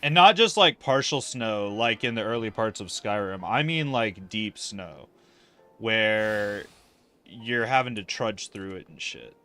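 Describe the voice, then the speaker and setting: A man's voice: fairly low pitch, expressive, a little slow, bright, forceful tone, soft background music. A man casually commentating a livestream of an online fantasy game, rambling and thinking aloud with casual swearing.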